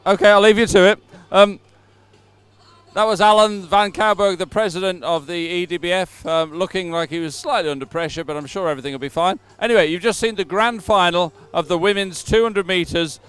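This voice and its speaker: A man's voice: high voice, a little fast, a bit bright, clear, resonant tone. A man presenting a live sporting event, talking off the cuff as he links the coverage.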